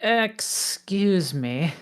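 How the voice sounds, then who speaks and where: A woman playing a blunt, sly male ogre in a comic table read, talking in a deliberate, wry way. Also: on the low side, animated, clear, resonant tone. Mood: hesitant, blunt. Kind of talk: comic table read of an animated film script.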